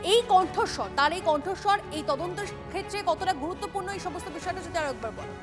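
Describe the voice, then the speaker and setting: A woman's voice: very high-pitched, fast. A woman with a West Bengal accent presenting live TV news as the anchor. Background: news music.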